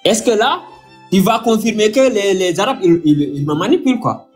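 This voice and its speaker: A man from Senegal with a moderately high voice argues as a debate caller over faint music. His delivery is halting, doubling words as he pleads for a chance to explain. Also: expressive, a little mellow. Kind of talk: heated live debate.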